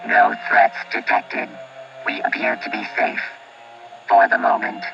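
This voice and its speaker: An actor playing a cautious, protective robot in an audio drama, speaking formally and deadpan through a robot filter. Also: male voice, measured pace, muffled recording, music in the background. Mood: calm, alert.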